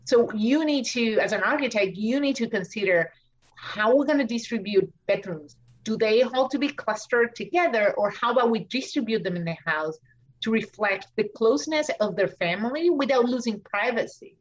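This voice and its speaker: Argentine woman architect, halting and chatty. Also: a little high, animated, lo-fi sound. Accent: Argentine Spanish. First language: Spanish. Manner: thinks aloud with many fillers and restarts. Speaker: architect and author. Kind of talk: podcast interview. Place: home studio in Washington DC.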